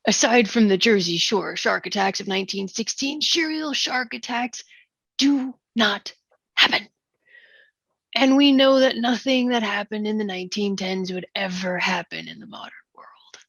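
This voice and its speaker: A woman's voice: medium pitch, normal speed, a bit bright, no room sound. A woman reading a stubborn, dismissive beach-town mayor in a musical comedy table read, glib and insistent.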